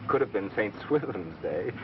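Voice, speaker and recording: Modest, earnest actor as 1930s scientist. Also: male voice, fairly low pitch, lively intonation, moderate pace, lightly acted. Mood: shy, earnest. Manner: matter-of-fact, hesitant, mild. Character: modest, earnest young male scientist, quietly smitten. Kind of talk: 1930s film acting. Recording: dull, noisy vintage film soundtrack.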